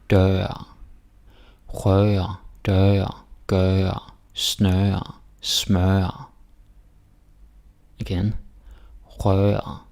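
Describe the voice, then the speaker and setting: Danish-accented male teacher, very low, gravelly voice, slow, relaxed delivery. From Denmark. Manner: casual, repeats words slowly for learners. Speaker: language teacher. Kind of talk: pronunciation lesson.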